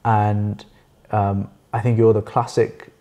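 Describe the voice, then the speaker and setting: A man in his thirties hosts a sit-down interview, asking questions in an easygoing, reactive way. His voice is lowish and mellow, with a dark tone. He sits on a sofa in a furnished living room. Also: flat delivery, slow pace. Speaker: interview host. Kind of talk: casual interview chat.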